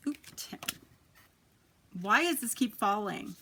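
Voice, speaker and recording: A woman in her thirties with a quiet, soft voice, chatting casually as she gives a tarot reading. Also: mid-range voice, lively intonation, takes it slowly, slight background noise. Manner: chatty, lots of ums and likes. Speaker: tarot reader.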